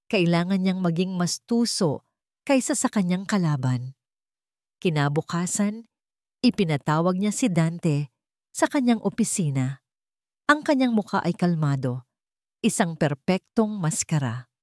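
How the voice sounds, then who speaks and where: A woman's voice: lowish voice, expressive, a little slow, pauses often, no echo, studio quality. A woman with a Filipino accent reading a scripted dramatic story as its narrator.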